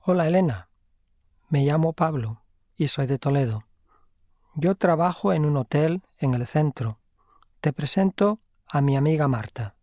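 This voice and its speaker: An actor playing a friendly young man in a slow, scripted dialogue for language learners. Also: fairly high pitch, expressive, dark tone, no echo, clean studio sound. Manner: clear, deliberate friendly delivery. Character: friendly young man, helpful hotel worker.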